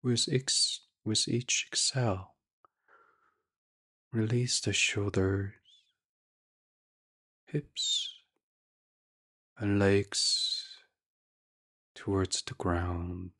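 An extremely unhurried man speaks in a soft, breathy near-whisper, guiding listeners toward sleep. His delivery stays flat and even, with long gentle pauses in an ASMR meditation style. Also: fairly low pitch. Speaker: meditation podcast host.

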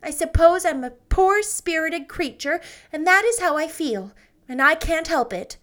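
A woman reading a story voices a meek, resigned spinster, her voice sitting high yet soft with hesitation. She speaks wistfully, as if unsure she has a right to want anything. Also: expressive, measured pace, exaggerated voice. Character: timid, resigned middle-aged spinster.

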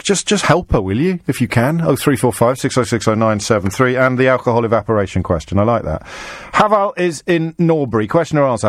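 English guy doing a radio phone-in, chatty n bantering, mid pitched voice, really dry studio sound. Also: dry recording. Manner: bantering, chatty, quick to interject. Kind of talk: live radio phone-in chat.

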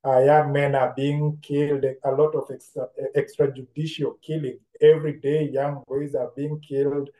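A Kenyan man with a soft-edged, mellow voice, pitched a little high, speaks as an interview guest. He is reflective and deliberate, circling back on phrases, and the room around him echoes noticeably. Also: level tone. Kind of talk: reflective conversational storytelling. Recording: roomy, echoing sound.

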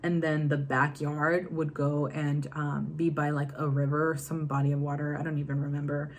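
A woman's voice: deep voice, flat delivery, moderate pace, slight background noise. A young woman working as a love and confidence coach, talking casually and leaning on the phrase "go ahead and.". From Virginia, US. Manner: chatty, repeats "go ahead and". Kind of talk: casual talk to camera.

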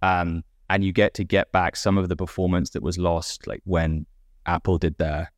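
Deep-voiced British man explaining casually. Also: moderate pace, no echo, studio quality. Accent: British, softened by years in America. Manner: relaxed, explanatory, leans on "like" and "basically". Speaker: startup founder guest. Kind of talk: casual podcast interview.

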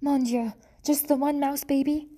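A vain, sighing mother mouse with a French accent , as a woman puts her on. She is loud and a little high, and her tone stays warm and mellow. She plays for sympathy in a storybook way. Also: fairly even intonation, normal speed, natural voice. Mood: melodramatic. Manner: theatrical, sighing, self-pitying.

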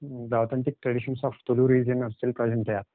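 A man with an Indian English accent, a native Telugu speaker, telling travel stories as a podcast guest in a halting, hesitant way. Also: medium pitch, level tone, dry recording. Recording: dry, tinny phone-like line.

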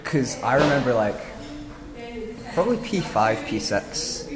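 A young man, co-hosting a casual podcast in a busy cafe, talking loudly with a wry, joking manner. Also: medium pitch, very animated, unhurried, roomy sound, long reverb tail. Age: young adult. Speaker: podcast co-host. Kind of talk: casual chat.

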